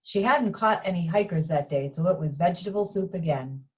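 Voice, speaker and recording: A woman reading a picture book aloud to young children, expressive and addressing them directly. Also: on the low side, brisk, poor audio. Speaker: story reader for young children.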